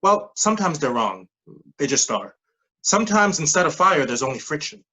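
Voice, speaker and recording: A persuasive scheme recruiter played by an actor in a staged play reading. The voice sits at a middling pitch with a slightly husky edge, pressing insistently in clipped phrases while dodging plain words. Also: sing-song, moderate pace, bright, forceful tone, poor audio. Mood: insistent, earnest. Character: smooth-talking, pushy pyramid-scheme recruiter mentoring a junior coworker.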